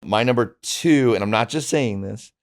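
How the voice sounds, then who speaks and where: A man's voice: medium pitch, moderate pace, no room sound, clean studio sound. A man who works as a voice actor, chatting casually in a discussion, feeling his way through his thoughts in a hesitant manner. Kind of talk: casual chat about favorite comics and films.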